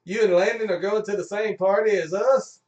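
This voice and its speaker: A man playing a loud, excitable, teasing buddy on the way to a party in an improvised radio-style comedy. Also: sits high, a little fast, far from the mic, highs cut off, heavy background noise, voice pushed up, lightly acted. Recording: roomy echo, off the mic.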